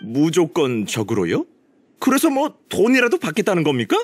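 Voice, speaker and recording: A man's voice: fairly high pitch, huge pitch swings, moderate pace, husky, exaggerated voice. A man voicing a pushy, rude young villager in a video game, with a whiny, theatrical delivery. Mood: presumptuous. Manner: whiny, theatrical, flips from fawning to dismissive. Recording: music behind the voice.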